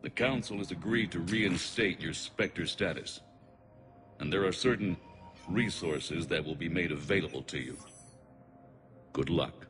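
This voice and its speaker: An actor playing a grave, formal government official in a cinematic video game, speaking in a slow, deliberate way. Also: male voice, low-pitched. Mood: solemn. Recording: loud music underneath.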